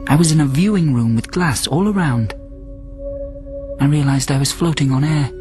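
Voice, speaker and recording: An actor playing a lonely immortal prisoner on a space station, narrating reflectively in a measured radio drama monologue. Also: male voice, a little high, natural voice. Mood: reflective, haunted. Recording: loud music bed under the voice.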